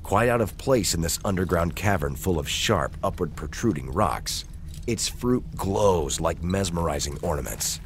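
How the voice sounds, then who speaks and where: A man's voice: deep voice, expressive, normal speed, slight background noise. A man reading scripted dramatic narration as the narrator of an audio drama.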